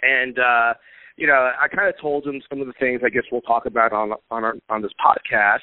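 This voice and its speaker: Relaxed man talking over a phone line. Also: medium pitch, a little fast, no room sound. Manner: relaxed storytelling, many fillers, laughs easily. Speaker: podcast guest, marketing coach. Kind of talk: casual interview talk. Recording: tinny phone-like line, dry.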